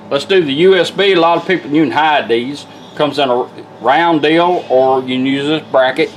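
A man's voice: mid-range voice, slight background noise. A middle-aged man giving an informal, plain-spoken hands-on product demonstration. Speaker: vintage car radio restorer demonstrating a product. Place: indoor workshop bench. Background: guitar music from the radio at times.

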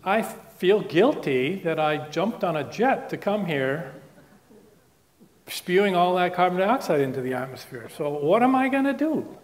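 A man's voice: high voice, sing-song, measured pace. A man from the Netherlands giving a chatty, joking public science talk in a lecture room, with restarts and asides. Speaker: guest lecturer. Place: lecture room with projected slides.

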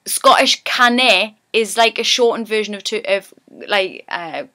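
A woman's voice: medium pitch, a little slow, sharp tone. A woman from Newcastle with a Geordie accent, rambling cheerfully as she teaches her local dialect in a casual lesson. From Newcastle, England.

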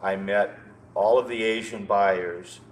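An older American man in his sixties reminiscing conversationally as an interview guest, halting and often repeating words. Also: on the low side, steady tone. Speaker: interview guest, loudspeaker maker. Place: indoor show room, seated. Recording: noticeable background noise, room ambience.

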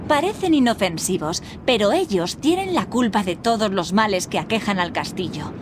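An actress playing a kindly, encouraging fairy guide who gives patient instruction in a video game. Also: female voice, mid-range voice, sing-song, normal speed, noisy background, lightly acted. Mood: instructive. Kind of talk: scripted game tutorial dialogue.

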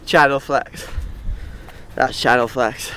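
A man's voice: medium pitch, steady tone, takes it slowly. A surfer chatting casually outdoors on a cold coast, joking about his cold hands and gloves. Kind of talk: casual chat on a surf trip. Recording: outdoor camera audio with background noise.

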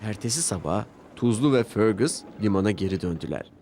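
A man's voice: lowish voice, animated, fairly quick, noisy background. A man narrating a scripted children's story as its storyteller. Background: music under the voice.

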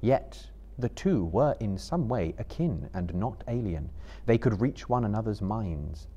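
A man in his thirties giving a measured, theatrical literary narration as a live dramatic reader. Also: on the low side, expressive, mellow, breathy edge, lo-fi sound. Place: seated indoors on a book-lined stage before a live audience.

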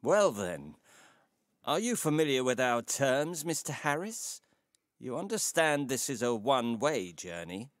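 An elderly, gracious tailor from an audio drama, played by a man. He is formal and deliberate, taking his time over every phrase. His pitch rises and falls dramatically, in a dry, close recording. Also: big pitch swings, no room sound. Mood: grave, measured. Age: older. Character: courteous, measured old tailor of a mysterious shop. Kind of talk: dramatised audio short story acting.

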